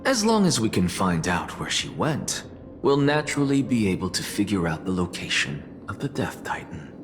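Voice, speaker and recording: An actor playing a calm scholar who reasons through hypotheses in a measured way. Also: male voice, fairly low pitch. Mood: analytical. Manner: analytical, measured. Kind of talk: game voice acting. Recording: game music behind.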